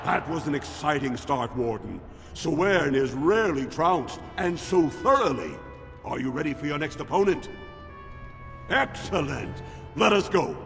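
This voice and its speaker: A man's voice: on the high side, lively intonation, measured pace, creaky, a bit bright, full character voice. An actor playing a grandly ceremonial male dwarf arena master in a fantasy game, announcing fights in formal, declamatory style. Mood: measured, approving. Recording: long hall reverb, music behind.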